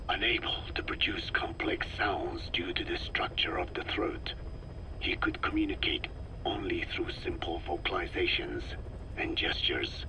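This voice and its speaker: An actor playing a frail, learned old Navajo scientist in a video game, lecturing gravely in slow, measured phrases with a breathy rasp. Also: male voice, sits low, full character voice, poor audio. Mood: wry, solemn. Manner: slow, solemn, measured, deliberate. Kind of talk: dramatic game monologue, lecturing.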